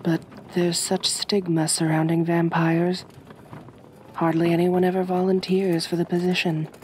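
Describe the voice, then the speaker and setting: Woman playing a slow, genteel vampire mistress. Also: fairly low pitch, flat delivery, a little hiss or hum, lightly acted. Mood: gentle, reassuring. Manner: gentle, measured, genteel, reassuring. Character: kind, possessive aristocratic female vampire mistress. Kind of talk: ASMR roleplay, soft soothing delivery.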